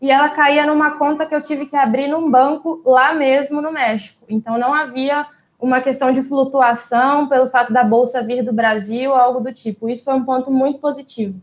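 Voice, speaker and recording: A young woman with a Brazilian Portuguese accent and a notably high voice, talking as an interview guest. She explains things at an easy, conversational pace, and often stops on a short filler sound or restarts a word before going on. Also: brisk. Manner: relaxed and explanatory, with frequent "é" fillers and small restarts. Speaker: interview guest, doctoral student. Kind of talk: casual interview storytelling.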